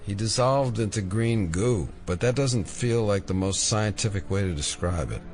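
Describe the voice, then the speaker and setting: An actor playing a wry, earnest FBI agent obsessed with conspiracies in an audio drama. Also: male voice, on the low side, moderate pace, a little mellow, faint music behind, natural voice. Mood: earnest, insistent.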